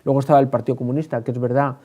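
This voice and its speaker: A man's voice: mid-range voice, little pitch movement, racing, warm tone. A man with an accent from Spain, giving conversational historical commentary as a guest expert. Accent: Spanish, from Spain.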